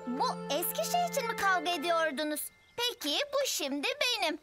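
A woman's voice: very high voice, big pitch swings, normal speed. A woman voicing a spirited young ninja girl in a children's cartoon, exclaiming in a childlike way. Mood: urgent. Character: spirited little ninja girl who transforms into warrior panther. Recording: loud music behind. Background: cartoon music.

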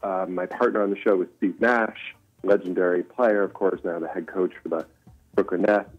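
A man's voice: deep voice, fairly even intonation, moderate pace. A man speaking as an interview guest over a muffled call-in line, explaining things in an easygoing way. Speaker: writer-producer, interview guest. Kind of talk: casual promotional interview. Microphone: remote call-in line. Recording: muffled lo-fi call, faint music.

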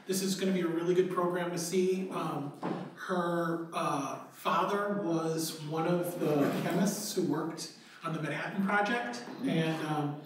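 Slow, hesitant male library report; far mic, roomy hall. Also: a little high, steady tone, slight background noise. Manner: halting, lots of ums, upbeat. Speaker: library staff giving a report. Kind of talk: casual public report. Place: council chambers, large room. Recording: echoey room, far from the mic.

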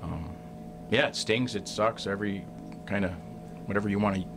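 A middle-aged man, a hockey coach, answering reporters at a postgame press conference, halting and repeating words as he restarts sentences. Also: on the low side, noisy background. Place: press room in front of a sponsor backdrop. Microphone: desk mic on a stand.

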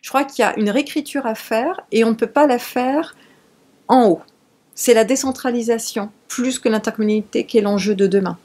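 A woman's voice: a little high, a little fast, dark tone. A middle-aged woman, a mayor, giving interview testimony indoors.

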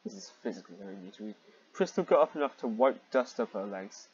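A man's voice: fairly low pitch, very animated, fast, darkish tone, husky, highs cut off. A man reading fan fiction aloud and commenting on it in a sarcastic, exasperated, sweary manner.

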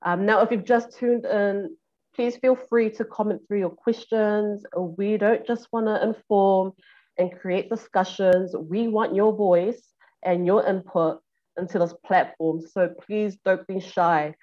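An upbeat woman hosts a community health talk from her home, speaking through earphones on a video call. Her delivery is brisk and friendly. The audio sounds a little dull. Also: mid-range voice, steady tone, warm tone. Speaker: show host. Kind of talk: hosting a health talk show. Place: home room. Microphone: earphones with mic on a video call.

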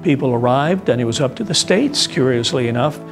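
Medium-pitched man explaining over guitar music. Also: expressive, fairly quick, gravelly. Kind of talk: documentary interview commentary. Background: plucked guitar music.

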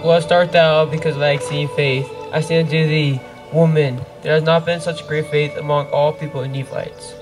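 Solemn young prophet, acted by a man, higher-pitched. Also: little pitch movement, moderate pace, hardly any pauses, over music, close to an everyday voice. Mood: solemn, assured. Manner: solemn, declaratory archaic recitation. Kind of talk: amateur scripture pageant acting. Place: outdoor wooded stage. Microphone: handheld microphone.

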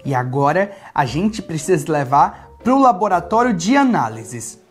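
A man's voice: on the high side, big pitch swings, measured pace. A young man with a Brazilian accent presenting a playful children's story as a toy doctor, speaking in simple, child-directed phrases. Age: young adult. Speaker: children's video host playing a toy doctor. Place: small furnished playroom studio, indoors. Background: background music.

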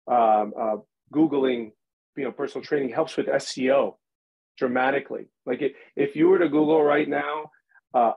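Gym owner guy on a podcast, talks fast n rambly, mid voice, kinda muffled audio. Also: mid-range voice, vocal fry, slightly rough. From New York City. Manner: quick, rambling, many restarts. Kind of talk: casual podcast interview.

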